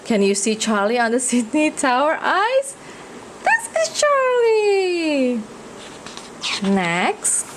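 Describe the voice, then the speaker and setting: A woman's voice: sits high, huge pitch swings, takes it slowly, heavy background noise. A woman with an Indonesian accent reads a children's picture book aloud expressively, engaging young listeners with questions and exclamations. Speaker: children's storyteller.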